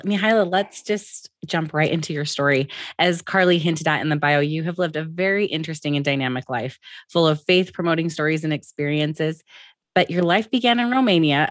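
A woman's voice: deep voice, no echo, studio quality. Polished woman podcast co-host. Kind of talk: scripted podcast intro and interviewing.

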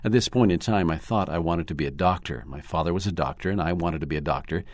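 A man reading a first-person article aloud in a measured, earnest way. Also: deep voice, no room sound, studio quality. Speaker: narrator.

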